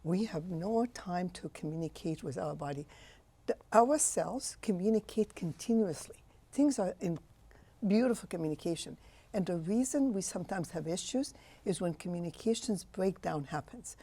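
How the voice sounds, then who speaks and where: A woman's voice: lowish voice, lively intonation, moderate pace, mellow, breathy edge. A woman in her sixties from Egypt, a physician answering interview questions with passionate, emphatic phrasing. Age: 60s. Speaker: physician guest.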